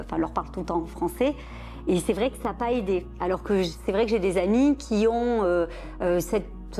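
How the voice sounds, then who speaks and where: A woman's voice: medium pitch, warm tone. A woman in a documentary interview, recalling her family's history in a conversational way. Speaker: interviewee, child of immigrants.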